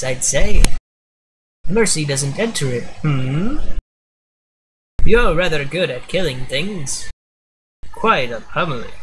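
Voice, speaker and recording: A man performs a genteel, fussy gentleman naturalist as a video game announcer. He is prim and understated, speaking slowly with swooping intonation and old-fashioned courtesy. Some noise sits behind the amateur recording. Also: sits high, exaggerated voice, poor audio. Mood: squeamish, regretful.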